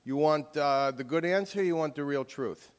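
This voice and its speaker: A man's voice: fairly high pitch, moderate pace, clear, resonant tone. A man giving an emphatic lecture as an author and taking audience questions. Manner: emphatic, forceful.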